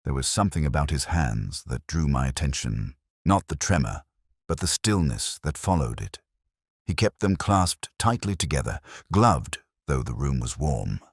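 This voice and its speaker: A man narrating a mystery in the first person as a weary, reflective detective, in a measured, literary, brooding manner. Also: a bass voice, very animated, vocal fry, husky, no echo, clean studio sound. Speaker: narrator of a detective audio drama.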